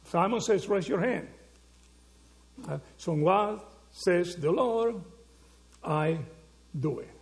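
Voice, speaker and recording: Man, preacher, gravelly voice, slightly high pitch, rhetorical questions, frequent check-ins with listeners. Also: takes it slowly, pauses often. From Argentina. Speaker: pastor. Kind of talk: sermon.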